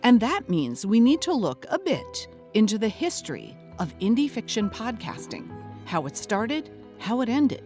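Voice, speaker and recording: A woman's voice: fairly high pitch, huge pitch swings, moderate pace, a little mellow, soft background music. A woman playing an earnest investigative podcast host, delivering scripted narration in a mock documentary audio drama.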